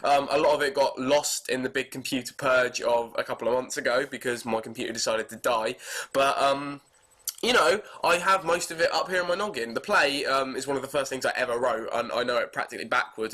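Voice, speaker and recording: A British man with a cold, sounding stuffy and hoarse, rambling to his webcam in a chatty, crude, self-mocking way. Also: mid-range voice, pushed and bright. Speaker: vlogger. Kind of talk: rambling casual monologue to camera.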